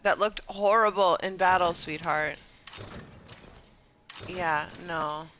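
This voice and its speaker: A woman's voice: fairly low pitch, takes it slowly, fairly bright tone, slight background noise. A woman giving casual live commentary as she plays a video game, often muttering short reactions to herself.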